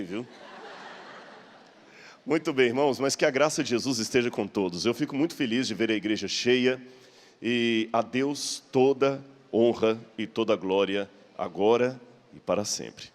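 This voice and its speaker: A man's voice: medium pitch, normal speed. A man with a Brazilian accent preaching in a conversational, explanatory way, posing rhetorical questions with a slight stammer. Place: large church hall. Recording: long hall reverb.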